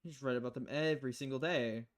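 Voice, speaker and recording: A man's voice: medium pitch, fairly even intonation, quick pace, close to an everyday voice. A man voicing a level-headed, wry bartender in a visual novel, speaking in a restrained, polite way. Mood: dry, calm.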